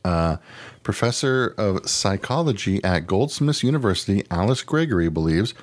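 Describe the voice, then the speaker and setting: A man's voice: lowish voice, lively intonation, moderate pace. A man hosting a podcast with casual comedic banter, rambling and swearing freely as he goes. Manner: rambling, profane, easily sidetracked. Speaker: podcast host.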